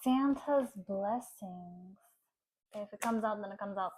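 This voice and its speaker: A woman talks through a tarot reading in a gentle, consoling way. She drifts into pauses and fillers as she talks. Her voice sits at an everyday middle pitch. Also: husky, low-quality recording. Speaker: tarot card reader. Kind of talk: casual tarot reading to camera.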